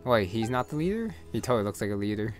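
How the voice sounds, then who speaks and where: A man giving casual, low-key gameplay commentary, thinking aloud as he plays. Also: very animated, quick pace. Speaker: gamer recording a playthrough. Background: game music.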